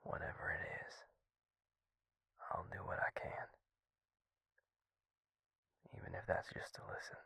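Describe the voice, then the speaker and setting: A man playing a gentle, courteous Southern vampire in an intimate audio roleplay, with a slow, soothing drawl and Southern US accent. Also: close to an everyday voice. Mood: concerned, soothing. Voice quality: low, gravelly, breathy near-whisper. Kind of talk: intimate audio roleplay acting addressed to the listener.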